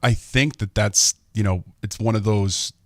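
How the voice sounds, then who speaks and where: A man's voice: lowish voice, a little slow, dry recording, clean studio sound. A man as a guest on a radio show, talking about his charity in a friendly way, chuckling often and restarting sentences. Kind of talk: casual radio interview. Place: radio studio.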